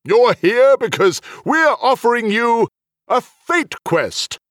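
A man voicing a polite, genial otherworldly host in a storybook, courteous, measured and gently apologetic. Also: extremely high pitch, very animated, no room sound, studio quality, pitched above the performer's own voice, exaggerated voice. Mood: apologetic, businesslike.

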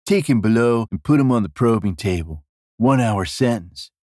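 A man's voice: fairly low pitch, lively intonation, moderate pace, darkish tone, dry recording, clean studio sound. Man playing a cocky, slangy dude of an android king. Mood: bossy. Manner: slangy, bossy, laid-back.

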